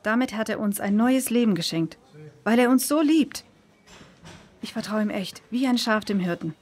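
A woman's voice: a little high, lively intonation, normal speed, darkish tone. Sincere, reassuring female friend in a children's radio play. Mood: earnest. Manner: earnest, reassuring.

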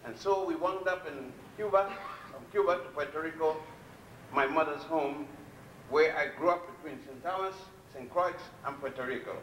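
An older man giving a public lecture from a podium, blunt and defiant, telling his story with long pauses. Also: on the high side, fairly bright tone, recorded from a distance, a little hiss or hum. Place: lecture hall at a podium before a chalkboard. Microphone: podium mic on a stand, filmed from a distance. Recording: echoey hall, recorded from afar.

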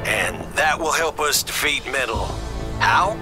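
An actor playing an easygoing soldier sidekick in a video game, casual and matter-of-fact. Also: male voice, mid-range voice, expressive, unhurried, over loud music, close to an everyday voice. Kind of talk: video game cutscene acting.

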